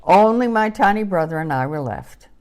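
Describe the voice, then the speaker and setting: A woman voicing a gentle, wistful little female puppy, telling a children's story in simple, childlike words. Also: on the low side. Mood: wistful, lonely. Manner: slow, expressive, simple childlike phrasing. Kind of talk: children's audio story narration.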